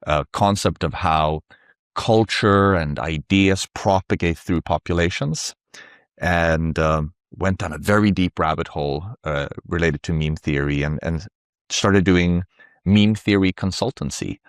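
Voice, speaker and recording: A man's voice: sits low, measured pace, clear, resonant tone, no echo, studio quality. A middle-aged man from Sweden, a native Swedish speaker, explaining things conversationally and reflectively as an interview guest. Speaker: interview guest, tech company founder.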